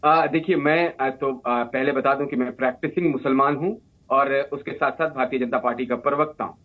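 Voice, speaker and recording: A man's voice: mid-range voice, steady tone, a little fast. A man from India speaking as a party spokesperson in a debate, explaining his position at length over a narrow feed. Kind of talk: unscripted explanatory debate talk.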